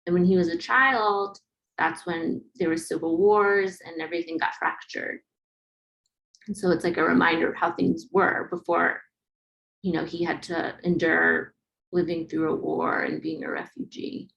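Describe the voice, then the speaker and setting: A woman with a somewhat low voice that often drops into gravelly fry presents her project in an online webinar. She talks casually and haltingly, as if explaining pictures to the group one at a time. The style is informal and unscripted. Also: steady tone, fairly bright tone, lo-fi sound.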